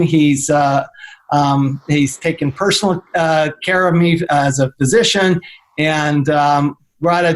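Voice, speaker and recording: Chatty man hosting, higher-pitched. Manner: halting, many fillers and restarts. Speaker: host. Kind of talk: interview podcast. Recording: remote call.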